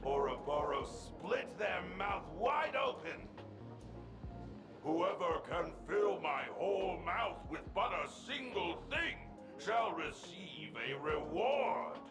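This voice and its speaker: An actor playing a mockingly playful storyteller who declaims a mythic tale in a theatrical, drawn-out way. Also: male voice, high-pitched, lively intonation. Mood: mocking showmanship. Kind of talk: dramatic storytelling narration. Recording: music behind the voice.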